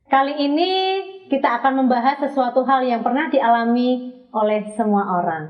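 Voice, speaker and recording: Higher-voiced woman hosting a podcast, distant mic. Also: fairly even intonation, normal speed, dull audio. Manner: friendly, leading questions, laughs often. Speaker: podcast host. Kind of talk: hosting a casual podcast chat.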